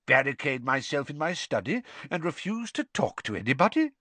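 A man voicing a wise, gently amused old male headmaster with dry wit, speaking in a measured way. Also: fairly high pitch, big pitch swings, dry recording, pitched above the performer's own voice, heavily acted. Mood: wry, kindly.

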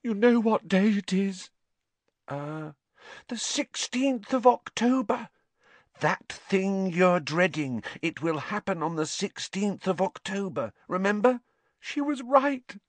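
A man's voice: high voice, animated, slow pace, no echo, voice pushed up, exaggerated voice. A man voicing a tearful, melodramatic teenage schoolgirl in an audiobook, sounding as if crying. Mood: tragic.

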